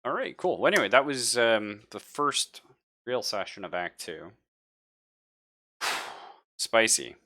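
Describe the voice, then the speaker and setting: A man's voice: on the low side, big pitch swings, measured pace, pauses often, bright, forceful tone. A man casually wrapping up a tabletop game session as game master, slangy and playful.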